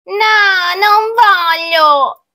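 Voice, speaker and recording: A woman's voice: very high-pitched, very slow, sharp tone, voice pushed up, full character voice. A woman voicing a defiant, cheeky little boy in a storybook, whiny and exclamatory. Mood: wailing refusal.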